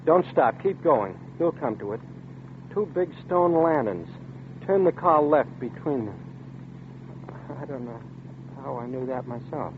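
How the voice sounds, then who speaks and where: A man's voice: mid-range voice, expressive, measured pace, long pauses, a little hiss or hum, lightly acted. An actor playing a nervous man who narrates, in tense, uneasy 1940s radio acting. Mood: distant. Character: nervous young man haunted by déjà vu. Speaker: narrator and lead character. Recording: tinny old radio broadcast with music.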